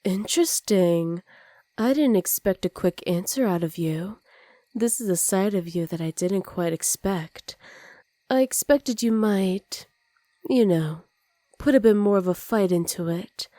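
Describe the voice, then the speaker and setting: A woman voicing a dominant, teasing supervillain in an ASMR roleplay, smug and commanding with soft, intimate delivery. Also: medium pitch, a little slow, dry recording, close to an everyday voice. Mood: amused, smug.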